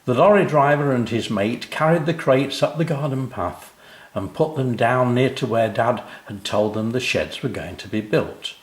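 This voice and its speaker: An older man with a British accent, reading a children's story as an expressive narrator. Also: medium pitch, normal speed, roomy sound.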